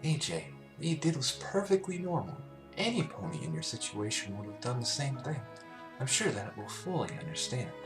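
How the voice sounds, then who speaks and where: A man's voice: mid-range voice, very animated, fairly quick, music in the background, voice pushed up, close to an everyday voice. A man voicing a thoughtful, reassuring young female pony who leads her friends, articulate and encouraging, in a story reading. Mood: reassuring, focused.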